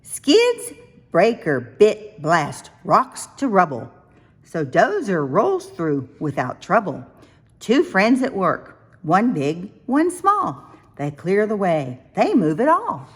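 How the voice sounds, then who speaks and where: A woman reading a rhyming picture book aloud for young children, with expressive, sing-song, deliberate phrasing. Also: mid-range voice, husky. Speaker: children's storybook reader.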